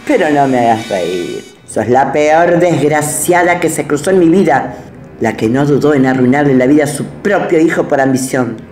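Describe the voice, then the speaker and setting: A woman's voice: sits low, lively intonation, measured pace, a little mellow, roomy sound, faint music behind. A woman with a Rioplatense accent playing a bitter, defiant older woman in a radio play, speaking in a mocking, sneering way. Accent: Rioplatense Spanish.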